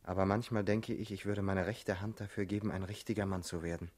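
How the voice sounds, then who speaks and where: Radio drama role: terse, earnest man in his twenties, brooding, lowish husky voice. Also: steady tone, fairly quick, darkish tone. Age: 20s. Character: earnest, brooding young male secretary of twenty-four. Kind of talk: German radio drama acting.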